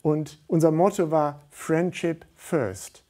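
A man's voice: on the high side, slow, dark tone. Middle-aged man, earnest studio guest. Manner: earnest, hesitant with many fillers. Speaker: studio guest, volunteer organizer. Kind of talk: studio interview.

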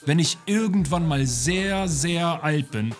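A male host delivers a scripted comic voice-over with ironic, teasing timing. His pitch swings widely through each line. Clear music plays beneath him. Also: slow. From Oldenburg, Germany. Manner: ironic, joking, lively delivery. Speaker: host narrating a travel show. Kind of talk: scripted comedic voice-over narration.

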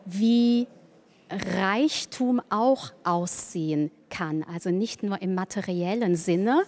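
A woman's voice: mid-range voice, takes it slowly. A woman giving an interview soundbite as a choir member. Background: loud music.

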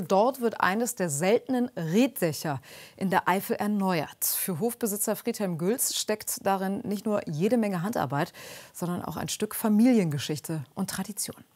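A woman hosting a regional news show, reading her links in a smooth, conversational way at an ordinary middle pitch. Her delivery is scripted and steady. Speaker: news presenter.

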